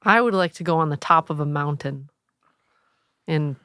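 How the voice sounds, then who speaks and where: A woman's voice: low voice, normal speed, fairly bright tone, smooth, no room sound, clean studio sound. A woman co-hosting a podcast, teasing her friends in casual chat full of "like" fillers. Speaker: podcast co-host.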